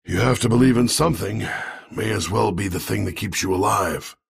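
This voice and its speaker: An actor playing a grim older soldier in a video game, terse and resolute, with a gravelly, husky voice. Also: male voice, lowish voice, fairly quick, full character voice. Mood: grim, weary. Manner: terse, world-weary, resolute. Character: grim, weary older male soldier, dutiful. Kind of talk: scripted video game voice lines.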